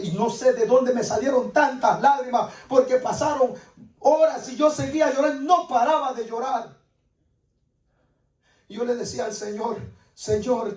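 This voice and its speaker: A man's voice: extremely high pitch, darkish tone. A man preaching a sermon as dramatic personal testimony, repeating his phrases with insistent emphasis. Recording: echoey room, distant microphone.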